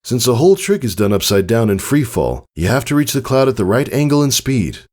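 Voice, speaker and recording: A caring father pegasus from a storybook, acted out by a man, patiently coaching his child. His delivery is earnest and instructive, with pitch that moves freely and sits above the reader's own low register. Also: very animated, a little fast, a little mellow. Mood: solemn, instructive. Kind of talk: dramatized storybook character reading.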